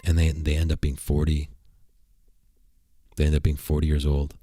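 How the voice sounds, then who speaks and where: Very deep-voiced man streaming, crude jokes. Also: completely flat delivery, moderate pace, dark tone, a little hiss or hum. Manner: crude, provocative joking, rambling. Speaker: streamer and channel host. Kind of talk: casual livestream chat. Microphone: desk mic at a computer.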